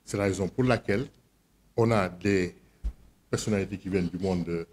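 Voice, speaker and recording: A man's voice: low-pitched, slight background noise. A man chairing a board meeting, giving a formal address and calling votes. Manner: measured, then loud and directive when calling the vote. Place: meeting hall.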